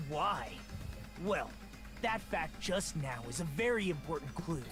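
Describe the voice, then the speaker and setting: Calm creepy schoolboy type, lively up-and-down delivery over loud game music. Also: male voice, fairly high pitch. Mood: praising. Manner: calm, measured, leading questions. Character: calm, unsettling young male student. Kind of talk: game voice acting.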